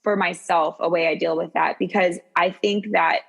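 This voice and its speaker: A woman's voice: fairly low pitch, fairly even intonation, creaky, highs cut off. A woman speaking as a coach and podcast guest, casual and confiding, often stopping to correct herself. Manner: halting, self-correcting, confiding. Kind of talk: casual, reflective podcast chat.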